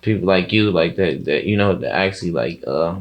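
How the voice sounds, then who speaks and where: A man talks casually as an interview guest, his voice deep and full of gravelly creak. He rambles in thick slang and often circles back over the same words. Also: animated, fairly quick, highs cut off. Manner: slangy, rambling, repeats himself.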